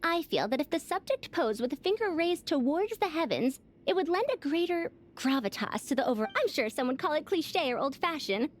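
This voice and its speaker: A woman's voice: high voice, big pitch swings, a little fast, few pauses. A woman playing a refined, confident lady scholar in a video game, with a formal, theatrical and grandiose manner. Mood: thoughtful. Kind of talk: video game character acting.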